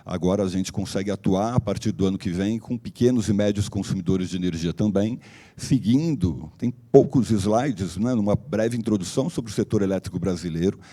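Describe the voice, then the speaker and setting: A man who is a company director, slightly hoarse, giving a conference presentation in a loose, explanatory way with frequent fillers and restarts. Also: on the low side, moderate pace, dark tone. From Brazil. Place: conference hall.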